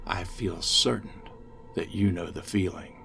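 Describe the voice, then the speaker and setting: Gothic horror audio tale: insane male inmate, acted by a male narrator, intense, menacing, unhurried, music behind. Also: sits low, steady tone, vocal fry, voice pushed down, heavily acted. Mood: hushed, intense. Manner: fervent, ominous, swinging from hushed to ranting.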